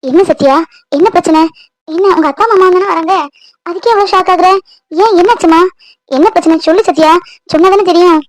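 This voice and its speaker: A woman's voice: very high-pitched, fairly quick, long pauses, no echo, rough recording. A woman voicing a firm, motherly older-sister figure who lectures and reassures a younger woman. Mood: worried. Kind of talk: Tamil domestic audio drama.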